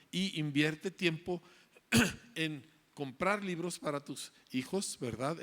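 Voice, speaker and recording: A man speaks from the front of a hall, lecturing parents and teachers in an emphatic, driving way. He repeats his key phrases and checks in with his listeners through tag questions. His voice sits somewhat high for a man. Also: a bit bright. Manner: emphatic, repetitive rhetorical lecturing with frequent "¿verdad?".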